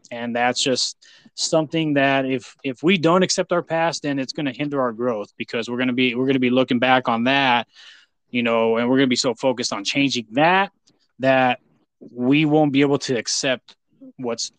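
A man's voice: mid-range voice, moderate pace, fairly bright tone, no room sound, rough recording. An American man hosting a casual interview, talking effusively in a rambling way with many repeats. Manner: effusive praise, rambling, repeats "you know". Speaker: podcast host.

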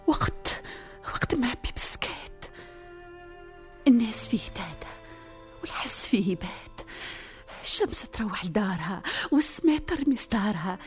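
A desperate, lovesick young noblewoman in a Tunisian radio drama, her high voice swinging widely as she drops into breathy whispers. Soft music hangs in the background.

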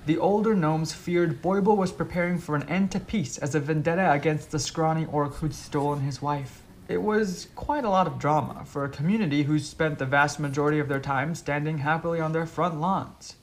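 A man's voice: a little high, steady tone, normal speed, few pauses, slight background noise. A man narrating a scripted whimsical story as a reader.

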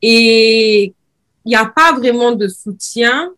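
A woman's voice: on the high side, slow pace, a bit bright. A young woman hosting a podcast in casual chat, talking in an emphatic way and often repeating her words. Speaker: podcast host.

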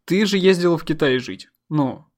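A man's voice: on the high side, expressive, moderate pace, soft background music. Teasing man asking casual questions. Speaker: host. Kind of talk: casual interview chat.

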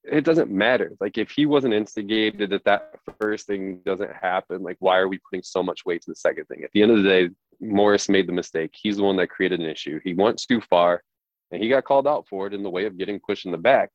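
Deep-voiced American guy, dull lo-fi mic. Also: a little fast, no echo. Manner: opinionated, blunt, conversational. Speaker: sports talk podcast co-host. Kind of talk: casual sports chat.

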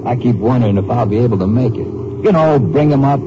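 On a dull, noisy 1950s radio recording, a man plays a young American truck driver. He sounds earnest and plainspoken, with a mid-pitched male voice and a slightly anxious temper. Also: animated, fast, close to an everyday voice. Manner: earnest, plain colloquial talk. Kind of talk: 1950s radio drama acting.

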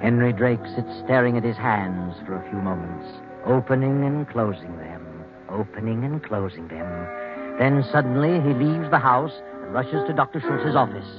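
An actor playing the ominous narrator of a mystery tale, in scripted old-time radio style. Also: male voice, medium pitch, moderate pace, over music, natural voice. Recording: dull vintage radio recording.

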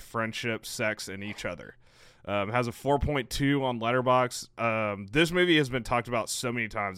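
A man's voice: mid-range voice, fairly bright tone, smooth, dry recording. A man with a Texas accent co-hosting a podcast, casually teasing his co-host and giving firm opinions on films. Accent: Texan. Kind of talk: casual chat.

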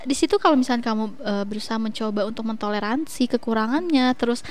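A woman in her twenties talking as a guest on a casual podcast, relaxed, with hesitant fillers and frequent giggles. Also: fairly high pitch, fast. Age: 20s. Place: coffee shop.

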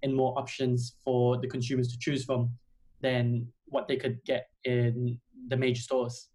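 A man's voice: mid-range voice, measured pace. A young man from Australia, a podcast guest, talking casually with plenty of ums and "kinda". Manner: relaxed, many ums and "kinda". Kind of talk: casual interview chat.

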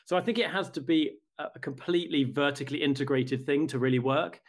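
A man answering interview questions about his company, in a measured, thoughtful way with occasional restarts. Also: a little high. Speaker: company representative, guest.

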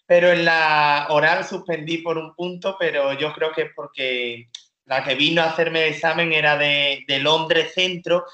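A man's voice: fairly high pitch, measured pace. A man, a comedian telling jokey, self-deprecating stories as a guest in a casual interview. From Spain. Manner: joking, self-deprecating, animated storyteller. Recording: roomy, dull lo-fi sound.

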